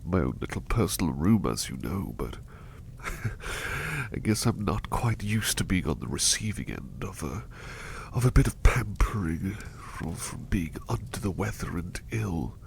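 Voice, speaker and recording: A gentle butler played by a man speaks low and hushed, with a creaky edge and swooping, lively inflection. He is doting, polite and apologetic, often hesitating softly in an intimate ASMR roleplay. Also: measured pace, slight background noise, exaggerated voice. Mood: grateful, under the weather. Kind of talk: ASMR roleplay, soft personal attention.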